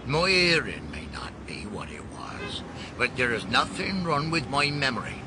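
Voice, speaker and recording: An actor playing a rambling, testy, hard-of-hearing old man in a radio drama, halting and colloquial. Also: medium pitch, very animated. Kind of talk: British radio drama acting. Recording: loud street traffic noise. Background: passing vehicles.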